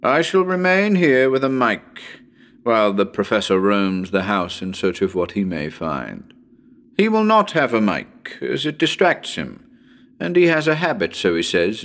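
A man reading as a genial, well-spoken radio presenter of a ghost hunt, with a measured, wry and formal manner. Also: lowish voice. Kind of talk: dramatic reading as live radio broadcast.

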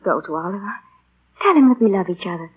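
A woman's voice: mid-range voice, expressive, fast talker, husky. An actress playing a passionate, strong-willed young woman with an intense, imploring manner in a 1940s radio drama. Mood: pleading. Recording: thin, muffled 1940 radio broadcast.

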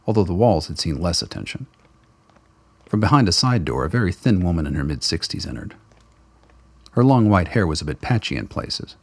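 Deep, gravelly, husky male narrator. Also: no echo. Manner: measured, descriptive storytelling. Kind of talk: scripted narration.

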